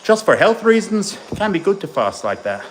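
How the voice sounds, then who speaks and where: Chatty bodybuilding coach streaming from the gym. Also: male voice, sits high, sing-song, fairly quick, a little hiss or hum, rough recording. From born in Tennessee, raised in Ireland. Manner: chatty, rambling, answers chat while training. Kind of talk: casual live-stream chat between gym sets.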